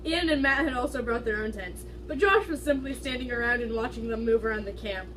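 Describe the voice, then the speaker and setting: A woman's voice: on the high side, a little fast, a bit bright. A woman giving a dramatic amateur reading of fan fiction in a casual way, now and then stumbling over words. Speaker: amateur reader. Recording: distant mic, faint background noise.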